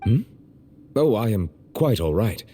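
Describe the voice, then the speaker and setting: A man voicing a dignified, socially awkward noble official in a video game, speaking in a formal, measured, reserved way. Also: lowish voice, big pitch swings, background noise. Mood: composed.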